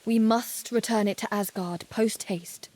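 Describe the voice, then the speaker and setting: A woman's voice: medium pitch, steady tone, unhurried, a little mellow, natural voice. A woman playing a dutiful, resolute young valkyrie in a fantasy video game, formal, composed and earnest.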